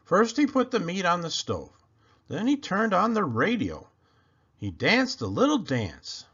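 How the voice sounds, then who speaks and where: A man reading a children's picture book aloud in an expressive, animated and deliberate storytelling manner. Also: high-pitched, slightly rough, highs cut off.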